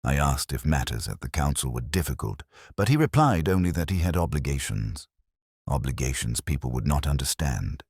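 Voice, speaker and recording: Guy doing a stiff proper widow, clipped and guarded, still very deep, dry studio sound. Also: big pitch swings, moderate pace, a little mellow, breathy edge, no echo, full character voice. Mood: candid, guarded. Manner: level, clipped, formal. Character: rigid, dignified, guarded widow of a councillor.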